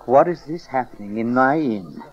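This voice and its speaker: A man acting an astonished, excitable innkeeper husband in a dramatized audio Bible. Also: mid-range voice, normal speed, lightly acted. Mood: wondering, cautious. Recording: dull lo-fi cassette transfer.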